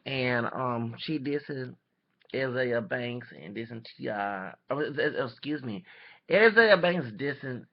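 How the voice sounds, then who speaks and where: Very creaky-voiced man, rambling. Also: mid-range voice, lively intonation, a little hiss or hum. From Texas, United States. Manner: rambling, halting, repeats and self-corrects. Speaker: vlogger. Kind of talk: casual opinion commentary to webcam. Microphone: webcam microphone. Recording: dull lo-fi webcam audio.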